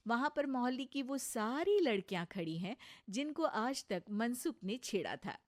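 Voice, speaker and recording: A woman's voice: on the high side, dry recording, clean studio sound. A woman reading scripted narration for a comic moral story as its storyteller.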